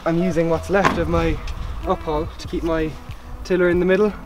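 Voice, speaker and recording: A man's voice: fairly high pitch, moderate pace, background noise. Young man touring his sailboat. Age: young adult. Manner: relaxed, matter-of-fact explaining with fillers. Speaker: sailboat owner giving a boat tour. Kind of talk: casual explanatory vlog. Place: outdoors on a small sailboat in a harbour. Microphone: camera held by someone nearby. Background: music.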